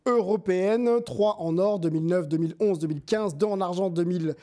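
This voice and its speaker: A man's voice: high-pitched, a little fast, dark tone. A man hosting a basketball debate, reeling off stats and leading the round. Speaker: show host. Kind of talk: studio sports debate.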